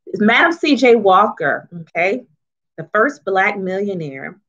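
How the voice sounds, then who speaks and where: A woman with a mid-pitched voice reads a children's book aloud and explains it as she goes. Her phrasing is emphatic and teacherly, with frequent questions to young listeners. The recording is a little dull and lo-fi. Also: very animated, measured pace, a bit bright. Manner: teacherly, asks questions and checks in often. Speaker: children's author and storyteller.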